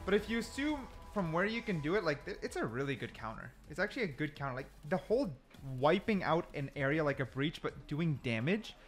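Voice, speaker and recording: Guy doing casual gameplay commentary, lively swingy pitch, a bit high, thinks out loud. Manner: thinks aloud, repeats himself for emphasis. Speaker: gaming content creator. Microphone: desk mic, sometimes turns away from it.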